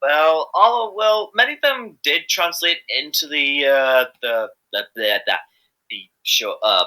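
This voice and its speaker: A man's voice: a little high, animated, takes it slowly, bright, forceful tone, low-quality recording. A man chatting casually as an interviewed amateur voice actor, rambling and often repeating himself hesitantly.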